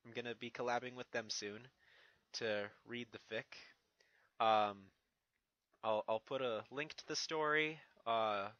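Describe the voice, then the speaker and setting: A man's voice: medium pitch, fairly even intonation, unhurried, a bit bright, dry recording, highs cut off. A man who reads fan fiction, giving a casual channel update in a hesitant, rambling, stammering way. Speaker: fan fiction reader and online creator.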